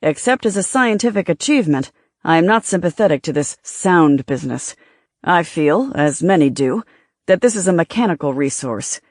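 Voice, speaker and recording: A woman's voice: fairly low pitch, a little mellow, dry recording, studio quality, lightly acted. A woman voicing an earnest, pompous male film actor who speaks formally, as if giving a speech, in an audiobook.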